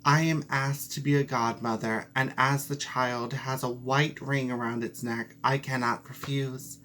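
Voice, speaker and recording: A reader plays a sly female cat from a storybook, speaking slowly. She is greedy and domineering, with a cool, smug, dismissive manner. Faint noise sits behind the voice. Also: mid-range voice, little pitch movement, a bit bright, lightly acted. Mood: wheedling. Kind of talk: storybook character voice.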